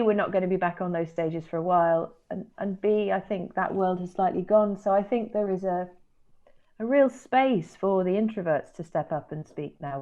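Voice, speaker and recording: Woman guest, lowish voice, thoughtful but keen, laughs easy, muffled lo-fi sound. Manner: thoughtful, enthusiastic, laughs easily. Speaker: guest, speaking coach. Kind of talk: conversational interview.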